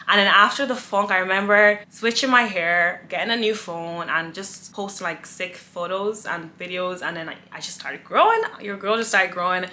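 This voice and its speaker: Woman, twenties, from Nigeria, casual vlog chat, giggly, forceful bright tone. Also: mid-range voice. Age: 20s. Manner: chatty, giggly, animated. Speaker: influencer, vlogger.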